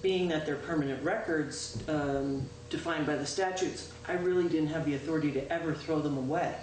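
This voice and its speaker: A woman town clerk giving a chatty, informal report to a town board, loud-voiced and pausing often with "um". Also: deep voice. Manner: chatty, with frequent "um" and self-corrections. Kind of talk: informal spoken report at a public meeting. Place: town meeting room. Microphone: distant room microphone. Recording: echoey, distant, noisy local-access meeting recording.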